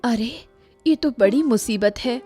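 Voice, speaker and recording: Woman playing a blunt, high-voiced village wife. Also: unhurried, warm tone, soft background music, lightly acted. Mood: practical, concerned. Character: nagging, practical village daughter-in-law and wife. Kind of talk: cartoon story voice acting.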